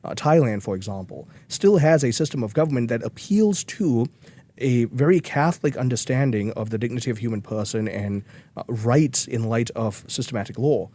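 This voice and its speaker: A man's voice: mid-range voice, normal speed, mellow. A man from Malaysia, speaking earnestly as a guest in a podcast interview, with frequent restarts. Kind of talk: conversational interview.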